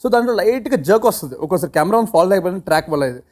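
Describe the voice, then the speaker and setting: A man's voice: sits high, lively intonation, very fast, a little mellow. A man talking casually in an interview, mixing English phrases into his speech. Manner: code-switches into English phrases. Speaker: film team member, interviewee. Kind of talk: casual interview talk about filmmaking.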